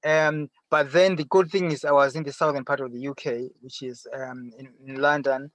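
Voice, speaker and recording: A middle-aged man with a Zimbabwean accent answering interview questions conversationally from inside a car, often pausing and rephrasing. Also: mid-range voice, fairly even intonation, fairly bright tone, dry recording. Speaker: interview guest, farmer. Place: sitting in a parked car.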